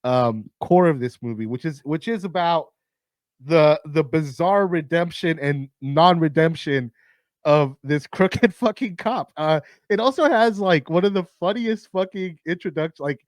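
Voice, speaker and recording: A man hosting a movie countdown, his voice raspy and tired, rambling casually with lots of swearing, fillers and restarts. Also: a little high, a little slow, dry recording, clean studio sound. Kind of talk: casual rambling film talk.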